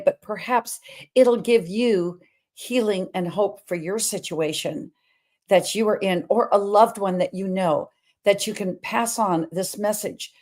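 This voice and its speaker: A middle-aged woman with a big, full voice hosts her own show in an energetic, emphatic way. She talks freely and wanders from one point to another, with a pitch that sits right in the middle for a woman. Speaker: host. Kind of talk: personal talk-show monologue.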